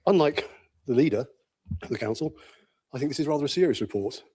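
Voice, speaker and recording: A man speaking as a county councillor in a council debate, measured and reproachful. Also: medium pitch, animated, dark tone, slightly rough. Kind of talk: formal council debate speech.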